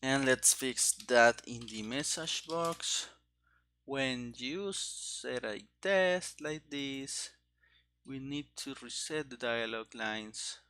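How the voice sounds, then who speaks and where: A game developer gives casual, halting commentary while coding. The voice is bright and mid-pitched, with slow delivery. Keyboard clicks and faint noise sit behind it. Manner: halting, muttering to self while working. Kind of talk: casual think-aloud programming commentary. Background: typing and mouse clicks.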